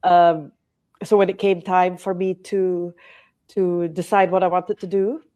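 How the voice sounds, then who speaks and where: A woman's voice: lowish voice, level tone, measured pace, dark tone, highs cut off. A woman in her forties from Malaysia, a hotel company CEO chatting as a podcast guest, a little nasal from hay fever, with many fillers and restarts. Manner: chatty, frequent restarts and fillers, laughs often. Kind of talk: casual interview conversation.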